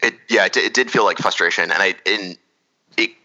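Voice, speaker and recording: Male podcast host, very low pitch and sharp tone, chatty soccer breakdown. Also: brisk, lo-fi sound. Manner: rambling, analytical, many restarts. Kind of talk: casual soccer analysis chat.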